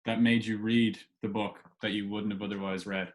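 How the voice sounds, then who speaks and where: A man hosting a casual interview, informal and a little halting as he phrases his questions. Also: lowish voice, flat delivery. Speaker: podcast host.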